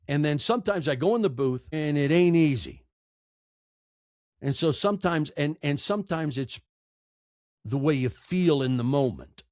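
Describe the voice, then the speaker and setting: Middle-aged American male podcast guest. Candid, heartfelt, emphatic talker, higher-than-average register, dry sound. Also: expressive, moderate pace, long pauses, a little mellow, no room sound. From United States. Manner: emotional, earnest, emphatic with repeated phrases. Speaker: interview guest, audiobook narrator and podcaster. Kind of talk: candid conversational interview answers.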